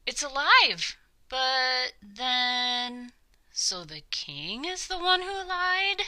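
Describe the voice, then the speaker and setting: A woman's voice: sits high, big pitch swings, very slow, pushed and bright, a little hiss or hum, voice pushed up, heavily acted. A woman voicing alarmed, indignant townspeople in a children's storybook, exclaiming in agitation. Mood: astonished. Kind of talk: children's storybook character reading.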